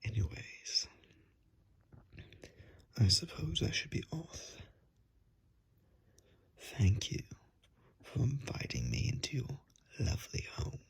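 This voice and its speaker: Polite, formal vampire for ASMR roleplay, played by a man, very creaky, swooping delivery, recorded on a phone mic. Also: medium pitch, expressive, voice pushed up, exaggerated voice. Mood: courteous, eerie. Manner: courteous, formal, drawn-out phrasing. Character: polite, formal gentleman vampire named William. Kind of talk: ASMR roleplay, soft whispering. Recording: unplugged iPhone microphone.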